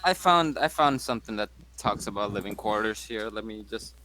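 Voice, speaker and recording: A man's voice: lowish voice, lively intonation, a little fast, pushed and bright. A man talking casually as a player in a tabletop game. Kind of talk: casual chat, reading rules aloud.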